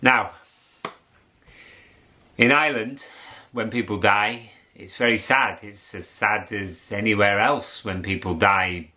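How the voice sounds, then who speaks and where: A man telling a story aloud in a theatrical way, with dramatic pauses and drawn-out words. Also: on the low side, fairly even intonation, pushed and bright. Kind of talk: animated oral storytelling to an audience.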